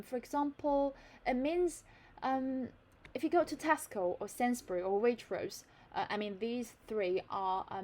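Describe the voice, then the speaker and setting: A woman's voice: medium pitch, darkish tone, slight background noise. A young Chinese woman tutoring English in a learned British accent, reading an article aloud and then explaining it with fillers and restarts.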